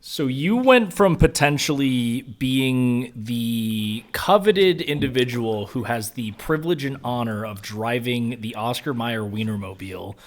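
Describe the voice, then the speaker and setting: Wry man with a lively, swooping voice. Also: mid-range voice, a little slow, few pauses. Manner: wry, teasing, wordy phrasing. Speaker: podcast co-host. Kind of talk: casual comedic banter.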